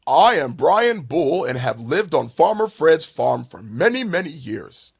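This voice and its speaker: A huge old storybook bull, played by a man, speaks with stern, booming authority. The delivery is slow and loud, with a husky rasp and big swings in pitch. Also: very animated, full character voice. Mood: stern, commanding.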